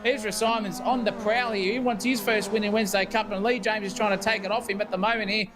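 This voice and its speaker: An Australian man commentating a sim race, excitable and exclamatory, swearing freely. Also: sits high, fast talker, music in the background. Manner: shouty, exclamatory, swears casually.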